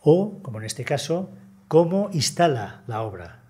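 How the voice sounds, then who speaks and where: An older man delivers a seminar talk seated at a table in a conference room. His voice is mellow and a little breathy, and he explains things in a measured way with many hesitations. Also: a little high, big pitch swings. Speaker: conference speaker, conservator.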